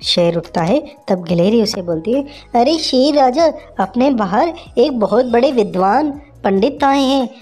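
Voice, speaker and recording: Brief: woman voicing a lively storybook squirrel, high and eager, calling out. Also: a little slow, soft background music. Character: helpful female squirrel guard, caring and lively.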